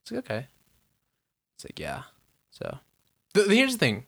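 A man's voice: medium pitch, huge pitch swings, moderate pace, gravelly, fairly bright tone, husky. A young man from the United States co-hosting a casual podcast, telling stories animatedly and acting out quotes with frequent swearing. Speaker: podcast co-host. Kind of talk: casual chat.

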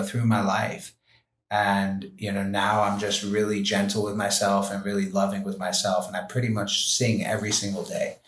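A lower-pitched man talks as a podcast guest in a heartfelt, reflective way. His speech is earnest and gentle and full of "you know" and "like". The room around him has an echo. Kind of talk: reflective interview conversation.

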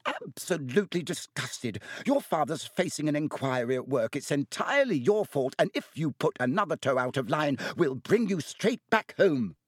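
Guy doing an angry mum yelling a telling-off, way high and shrill, storybook. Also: big pitch swings, normal speed, hardly any pauses, husky, dry recording, studio quality. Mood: furious, disgusted. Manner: shrill, outraged, emphatic telling-off. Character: furious scolding middle-aged mother, yelling magically amplified letter.

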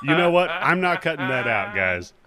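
Lively, joking male podcast host. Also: fairly high pitch, normal speed, a loud crowd. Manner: crude, joking recap. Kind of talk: casual podcast chat.

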